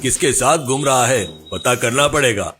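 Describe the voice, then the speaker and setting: Man doing a stern, suspicious father, animated voice. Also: mid-range voice, measured pace. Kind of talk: cartoon moral story dialogue.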